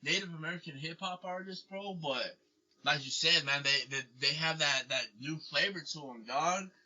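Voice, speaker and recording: A man's voice: fairly high pitch, normal speed, bright, forceful tone, dull audio. A man hosting an interview in casual chat, slangy, filling his lines with "bro" and "dog". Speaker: podcast host.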